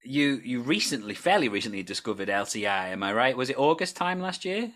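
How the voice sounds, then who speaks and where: A man's voice: medium pitch, very animated, a little fast, fairly bright tone. A man hosting a podcast, interviewing a guest in a friendly, chatty way. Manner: friendly, reads promos then chats. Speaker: podcast host.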